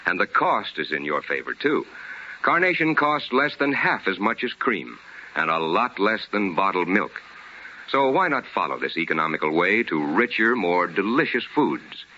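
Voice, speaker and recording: A man's voice: low voice, sing-song, normal speed, pushed and bright, a little hiss or hum. An American man announcing and hosting in formal, polished, scripted 1950s radio style with emphatic delivery. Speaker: radio host and sponsor announcer. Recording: dull old radio broadcast with music.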